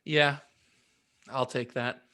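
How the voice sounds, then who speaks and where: A man's voice: mid-range voice, steady tone, slow pace, pushed and bright, smooth. A man co-hosting a podcast with casual, playful banter. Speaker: podcast co-host.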